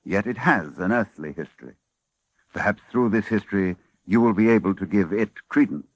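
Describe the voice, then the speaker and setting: Man acting a grave, low-voiced scholar. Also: normal speed, no room sound, natural voice. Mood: ominous narration. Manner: formal, solemn, dramatic. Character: grave, anxious male scholar warning of cosmic horror. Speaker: narrator. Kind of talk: 1940s radio acting.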